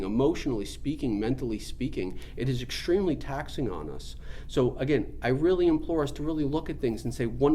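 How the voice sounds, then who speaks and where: Earnest man teaching over clear background noise. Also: mid-range voice, darkish tone. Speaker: spiritual teacher. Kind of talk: informal spiritual teaching talk.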